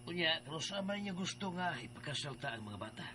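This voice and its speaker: A man's voice: a little high, sing-song, a little fast, bright, forceful tone, a little hiss or hum. A man playing a polite, cautious middle-aged father in a radio drama, speaking courteously.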